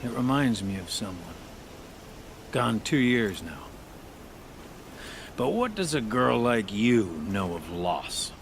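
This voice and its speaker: An actor playing a gruff, bereaved craftsman in a video game, speaking in a blunt, heavy, halting way. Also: fairly low pitch, lively intonation, slight background noise. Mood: bitter grief.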